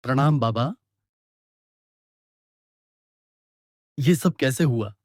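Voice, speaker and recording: A man's voice: medium pitch, normal speed, no room sound, clean studio sound, natural voice. A man playing a troubled young prince under a curse in a cartoon story, speaking earnestly. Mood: concerned. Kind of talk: Hindi animated moral story dialogue.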